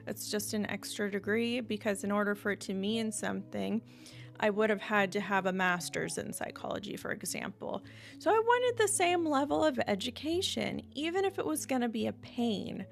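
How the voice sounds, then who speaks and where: A woman's voice: medium pitch, expressive, normal speed, gravelly. A young woman from the United States, talking candidly and reflectively to her viewers in an unedited vlog, with light laughs now and then. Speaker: vlogger. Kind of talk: candid unedited monologue to camera.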